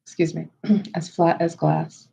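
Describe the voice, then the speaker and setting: A woman leading a guided meditation in a slow, soothing style, with measured, unhurried phrases and pauses. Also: low-pitched, little pitch movement, gravelly, mellow, husky.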